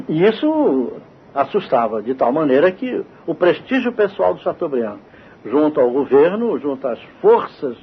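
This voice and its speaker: An older man with a Brazilian accent, reminiscing in an unscripted interview with halting repeats and asides. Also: fairly high pitch. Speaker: veteran radio man interviewed. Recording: dull, noisy old radio interview.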